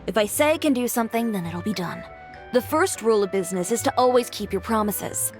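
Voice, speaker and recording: A woman's voice: on the high side, lively intonation, brisk, natural voice. A woman voicing a composed, businesslike female fashion designer and shop owner in a game, dry and matter-of-fact. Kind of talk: game voice acting. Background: game music.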